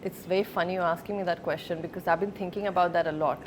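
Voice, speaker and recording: A woman in her thirties from Sweden, a film producer speaking reflectively as an interview guest, with many pauses and fillers. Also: lowish voice, steady tone, a little hiss or hum.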